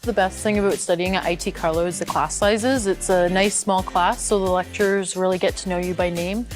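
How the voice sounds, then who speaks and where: A woman from Canada, an international student, giving a steady, upbeat testimonial for a promo video. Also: lowish voice, fairly quick, faint music behind.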